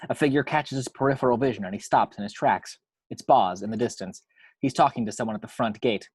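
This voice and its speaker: A man's voice: medium pitch, quick pace, darkish tone, husky, no room sound. A man reading action descriptions from a screenplay as scripted narration.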